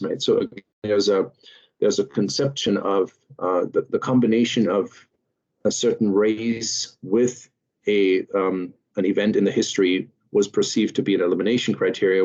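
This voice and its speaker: A man from Germany with a German accent, giving an online lecture as series host in a measured way with many fillers. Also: sits low, a little mellow, dull audio. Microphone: video call.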